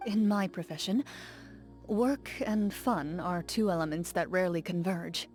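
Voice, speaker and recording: A woman's voice: fairly low pitch, faint music behind, lightly acted. A woman playing a stoic, reserved duelist. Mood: measured. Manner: calm, measured, formal and reserved. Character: stoic young woman duelist, composed and guarded. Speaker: game character. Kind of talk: game character voice lines.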